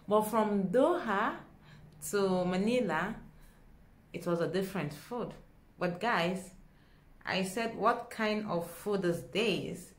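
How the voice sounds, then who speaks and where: A young woman with a Nigerian accent tells a story to camera in a dramatic, expressive way, acting out dialogue as she goes. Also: lowish voice. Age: young adult. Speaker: vlogger. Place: small indoor room at home. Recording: echoey small room.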